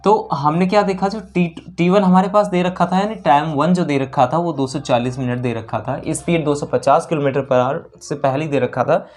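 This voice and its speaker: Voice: mid-range voice, fairly even intonation, normal speed, few pauses, darkish tone. A maths teacher working through exam problems step by step, asking questions and answering them, repeating key figures and mixing in English terms.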